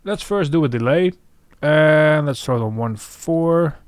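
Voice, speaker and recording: A man's voice: fairly high pitch, expressive, a little slow, noisy background. A man hosting a music production tutorial, talking casually and thinking aloud as he works. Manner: thinking aloud while working. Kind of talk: casual screen-recorded tutorial commentary.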